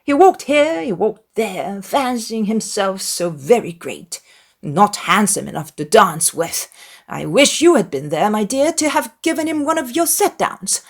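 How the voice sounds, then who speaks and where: A woman's voice: medium pitch, sing-song, measured pace, voice pushed up. A woman voicing an indignant, gossipy middle-aged mother with an emphatic, effusive manner in a dramatized audiobook. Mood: bitterly indignant.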